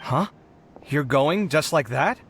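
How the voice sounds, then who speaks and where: A man playing a friendly, polite young scholar in a video game, eager and expressive. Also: fairly high pitch, slow, soft background music, full character voice. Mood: surprised. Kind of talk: video game character acting.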